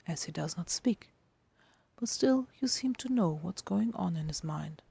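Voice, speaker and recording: A woman narrating a dramatic fiction reading in a hushed, intimate manner with deliberate phrasing. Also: lowish voice, lively intonation, gravelly, breathy edge. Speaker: podfic narrator.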